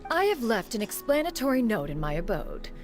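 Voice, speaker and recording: A woman's voice: a little high, very animated, fairly quick. A woman voicing a proud, aloof immortal adept in a video game, speaking in a haughty, formal manner. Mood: haughty, dry. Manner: haughty, formal, precisely worded. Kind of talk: video game character dialogue. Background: faint music.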